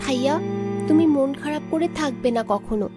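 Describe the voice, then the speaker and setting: A woman's voice: high-pitched, moderate pace, music in the background, natural voice. A woman voicing a caring, earnest little schoolgirl in a children's cartoon, sweet and questioning. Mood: consoling.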